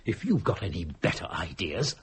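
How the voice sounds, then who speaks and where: An actor playing an agitated, insistent husband trapped in a haunted house, arguing urgently in a dramatic audio thriller. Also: male voice, sits low, wildly swooping pitch, normal speed, husky, exaggerated voice. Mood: agitated, demanding. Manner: urgent, argumentative, pressing questions.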